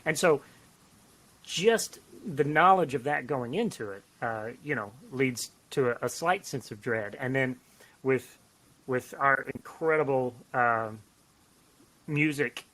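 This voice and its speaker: Bright-voiced, rambling man chatting. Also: medium pitch, takes it slowly, low-quality recording. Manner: rambling, animated, self-deprecating, joking. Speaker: writer on a discussion podcast. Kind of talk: casual podcast chat about writing. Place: home office, on a remote call.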